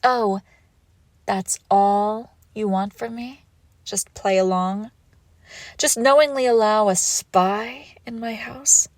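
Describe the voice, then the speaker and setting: A woman playing a seductive, menacing villain crime boss and wounded ex-lover in an intimate audio roleplay, commanding and breathy, often dropping into whispers. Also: medium pitch, slow, dry recording, lightly acted. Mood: wounded, longing.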